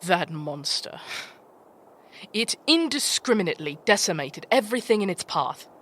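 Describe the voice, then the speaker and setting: A woman's voice: on the low side, very animated, normal speed, fairly bright tone, husky, heavily acted. A woman playing an ancient, proud female demon chieftain, fierce and vengeful, speaking with stern formality in a fantasy audio drama. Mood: grave.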